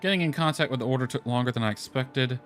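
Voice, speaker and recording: Guy doing a laid-back playthrough, offhand asides, reads lines out loud, bit of music behind him. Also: medium pitch, fast talker. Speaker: gamer commenting on own playthrough. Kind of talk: casual game commentary, reading dialogue aloud. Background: background music.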